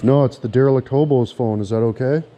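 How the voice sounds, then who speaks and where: Guy messing with someone, mellow voice, sarcastic and needling, casual, slight garage noise. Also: mid-range voice, fast talker. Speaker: prank-playing vlogger. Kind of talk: casual mocking banter with a caller. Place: workshop garage, indoors.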